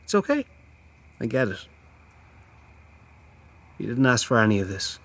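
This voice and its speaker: A woman playing a gentle, hesitant, apologetic immortal friend in a horror audio drama, with a husky voice. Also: extremely low pitch, sing-song, a little slow, heavily acted. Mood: resigned.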